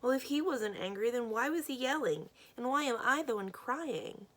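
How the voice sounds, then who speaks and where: A woman's voice: mid-range voice, animated, moderate pace, a bit bright. Woman as earnest, blurting young girl. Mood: upset.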